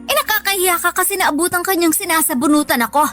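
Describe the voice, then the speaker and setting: A woman's voice: very high voice, fast talker, a bit bright, soft background music. A woman playing a long-suffering, sickly working mother in a Tagalog radio drama, speaking plaintively to her child. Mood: scolding, weary. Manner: pleading, plaintive.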